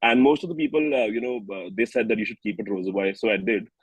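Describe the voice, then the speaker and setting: A man's voice: on the low side, lively intonation, quick pace, breathy edge, dry recording, low-quality recording. A man in his early thirties with an Indian accent, chatting informally as a singer-songwriter in an interview. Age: early 30s. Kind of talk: casual interview chat.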